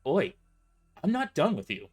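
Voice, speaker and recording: A man's voice: high-pitched, wildly swooping pitch, moderate pace, a little mellow, soft background music, pitched above the performer's own voice. A man doing a sarcastic tough young woman. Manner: sarcastic, mocking.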